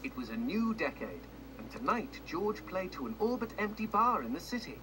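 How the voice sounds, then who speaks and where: An actor playing a wry, macabre storyteller who narrates a grim storybook tale in a video game, dramatic and tongue-in-cheek, heard through noisy TV audio. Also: male voice, sits high, big pitch swings, moderate pace. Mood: ominous, wry.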